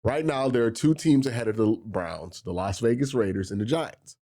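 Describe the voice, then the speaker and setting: A man with a cold, sounding nasal and breathy, hosting a sports talk show and patiently explaining draft tiebreakers. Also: medium pitch, moderate pace, warm tone. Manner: explanatory, walks through tiebreaker rules step by step. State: congested from a cold. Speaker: sports channel host. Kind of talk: solo sports talk to camera.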